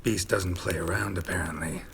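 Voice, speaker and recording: A man playing a gruff, stoic monster hunter in a video game, with a low gravelly voice and clipped, deadpan delivery. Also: moderate pace, a little hiss or hum. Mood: gruff, matter-of-fact.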